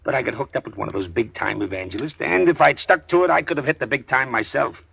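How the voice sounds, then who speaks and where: A man playing a wary middle-aged gangster who was once a preacher, folksy and drawling, with quiet menace, in 1940s radio drama style. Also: mid-range voice, fast, gravelly, husky, exaggerated voice.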